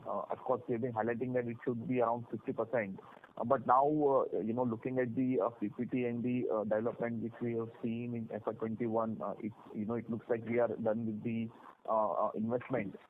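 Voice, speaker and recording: A man with an Indian accent asking analyst questions on a conference call, in halting, filler-heavy phrasing. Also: medium pitch. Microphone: telephone conference line. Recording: narrow phone line with faint noise.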